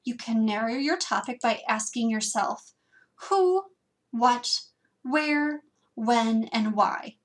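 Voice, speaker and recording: A young librarian with a Wisconsin accent and a fairly high voice walks through a lesson in a scripted way. She keeps it lively, asks lots of questions and teases herself a little. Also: slow pace. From Wisconsin, USA. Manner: lively, self-teasing, asks many questions. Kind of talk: scripted instructional narration.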